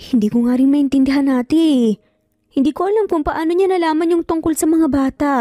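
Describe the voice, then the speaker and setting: A woman voicing a guarded young mother, high mellow voice, firm, dramatic romance story, dry sound with music. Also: a little fast, dry recording, close to an everyday voice. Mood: troubled. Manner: firm, emphatic questioning. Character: guarded, defiant young mother, startled by her ex-husband. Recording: music bed under the voice.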